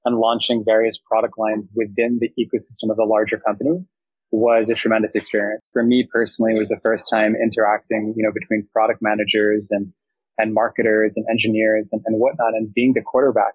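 A man's voice: on the low side, flat delivery, a little fast, breathy edge, no room sound, dull audio. A young man from Thailand, a startup founder, giving fluent, reflective interview answers full of business terms.

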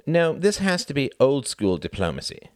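A man voicing a confident young diplomat in an audiobook, explaining in a brisk, self-assured way. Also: mid-range voice, very animated, no room sound, clean studio sound, voice pushed up, close to an everyday voice. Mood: lecturing. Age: 20s.

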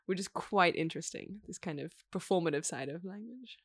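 Low, gravelly-voiced young woman guest. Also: expressive, fairly quick, no echo, studio quality. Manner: reflective, thoughtful, frequent "like" fillers. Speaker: interview guest. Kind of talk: casual conversation.

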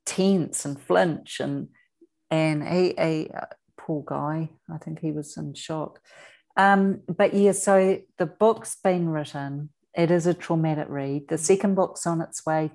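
A woman with a New Zealand accent speaking earnestly as an interview guest, deliberate and emphatic, repeating words for weight. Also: low-pitched, dark tone. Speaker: interview guest, author and abuse survivor advocate.

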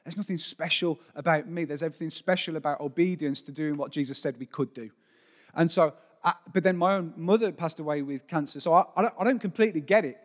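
A man's voice: on the high side, quick pace. A man preaching as pastor to his church congregation, earnest and jokey as he introduces a guest. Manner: earnest, lively, jokes with the congregation. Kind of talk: church sermon, introducing a speaker. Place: large church hall. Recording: church hall with long reverb.

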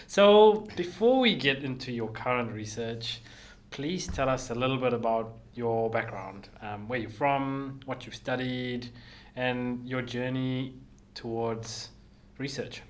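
A man hosting a podcast, upbeat and chatty, with quick laughing asides. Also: medium pitch. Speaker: podcast host. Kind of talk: podcast interview.

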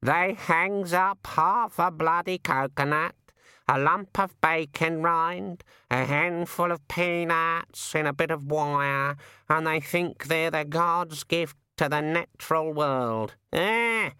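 An aggrieved storybook raven, pitched up from a natural speaking voice and very close and dry. It grumbles in rough colloquial phrases, full of its own importance. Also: male voice, slow pace, bright, forceful tone, dry recording. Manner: rhetorical, colloquial, complaining.

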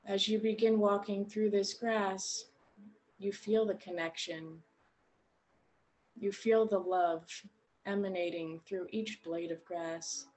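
A woman guiding a meditation in a gentle, unhurried way, leaving long pauses between short phrases. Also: medium pitch, fairly even intonation, low-quality recording. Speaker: meditation guide. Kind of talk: guided meditation narration.

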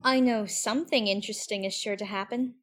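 A woman's voice: mid-range voice, steady tone, fairly quick, a bit bright, natural voice. A woman voicing a curious, chatty young girl, musing primly to herself in an audiobook. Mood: eager, curious. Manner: musing, prim, talking to herself. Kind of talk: audiobook character acting.

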